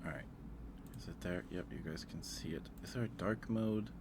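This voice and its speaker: A man's voice: low voice, moderate pace, heavy background noise. A man hosting a casual livestream, thinking aloud in a hesitant way. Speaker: livestream host.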